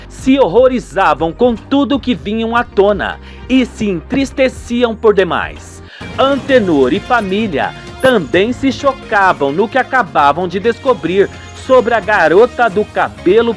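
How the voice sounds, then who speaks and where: A Brazilian man giving a theatrical, emphatic scripted narration for a radio soap, with music behind him. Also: high voice.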